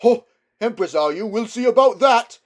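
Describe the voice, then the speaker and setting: A rowdy storybook street heckler, acted by a man, shouting at a high, strained pitch. The manner is jeering and loud. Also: lively intonation, normal speed. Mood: mocking. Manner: shouting, mocking. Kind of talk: storybook character reading.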